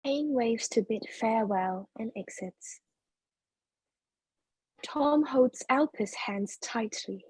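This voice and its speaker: A woman's voice: fairly high pitch, takes it slowly, long pauses, darkish tone, husky, rough recording. A woman narrating a play's stage directions in scripted reading.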